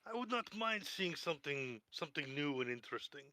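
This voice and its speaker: A man with a strikingly bright, sharp voice, pitched a little high, chats through his part in a tabletop roleplaying game. He narrates his character casually. The sound is close and dry. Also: sing-song, brisk, dry recording.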